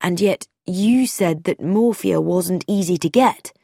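A woman reading aloud and voicing a thoughtful, easygoing young man, speaking slowly in a plain, lowered tone. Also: creaky, warm tone, slightly rough, dry recording, clean studio sound, exaggerated voice. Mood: puzzled.

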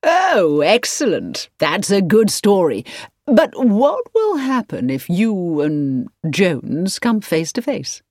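A woman's voice: mid-range voice, very animated, slow, a little mellow, dry recording, studio quality, pitched above the performer's own voice, full character voice. A woman voicing a cheerful, admiring young man who exclaims eagerly.

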